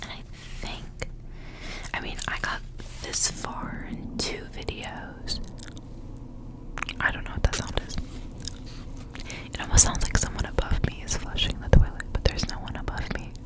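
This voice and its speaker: Casting: female ASMR reader, soft breathy whisper throughout, casual and unhurried storybook delivery. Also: rough recording. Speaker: ASMR creator reading aloud.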